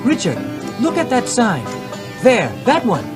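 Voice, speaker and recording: A man's voice: very high voice, wildly swooping pitch, measured pace, over loud music, full character voice. A man playing an inquisitive Greek visitor on a road trip in a scripted English-learning radio drama. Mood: interested. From Greece. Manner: inquisitive, simply worded.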